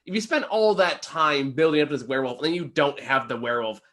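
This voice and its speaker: A man's voice: a little high, fast, bright, forceful tone. A man co-hosting a casual film review, chuckling and swearing as he talks. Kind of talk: casual movie review chat.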